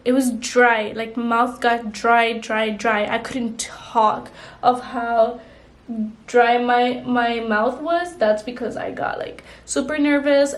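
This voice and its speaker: A young woman vlogger chatting casually while doing her makeup, rambling with lots of "like" and "you know". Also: fairly high pitch. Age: young adult. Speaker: beauty vlogger.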